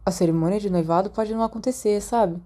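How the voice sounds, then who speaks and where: A woman reads as an earnest young schoolboy wizard with secrets. The tone is soft and dark. He speaks in hurried, halting bursts, guarded, as in storybook dialogue. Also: mid-range voice, lightly acted. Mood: guarded, insistent.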